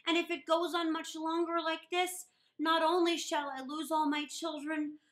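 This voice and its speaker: A man's voice: very high-pitched, bright, forceful tone, exaggerated voice. A woman voicing an anxious, pleading old dragon king in a storybook reading, earnest and formal.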